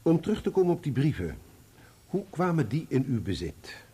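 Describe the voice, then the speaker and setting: Radio drama counsel: male, courteous, formal, dark soft timbre, slightly high pitch. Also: big pitch swings, moderate pace, slightly rough, exaggerated voice. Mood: polite, probing. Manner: formal, polite. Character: courteous male barrister questioning a witness. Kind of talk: Dutch radio play acting.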